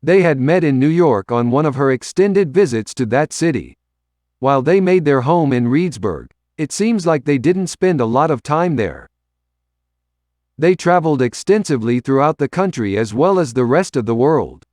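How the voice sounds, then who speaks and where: A man's voice: a little high, lively intonation, normal speed, a little mellow, no room sound, clean studio sound. A man reading a scripted local history narration.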